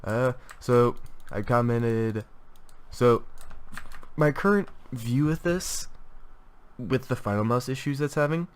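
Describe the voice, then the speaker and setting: A man's voice: medium pitch, slow pace, pauses often, smooth. A young man with a stuffy voice from a cold, reviewing gaming gear in a casual, rambling way with many fillers and restarts. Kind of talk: casual product review talk.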